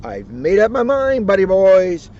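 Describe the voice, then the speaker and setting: A man's voice: high-pitched, sing-song, measured pace, a little mellow, pitched above the performer's own voice. A man voicing a cheerful, boastful, bouncy tiger from a storybook in an exuberant, chummy way. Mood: proud, cheerful. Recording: noisy muffled outdoor recording.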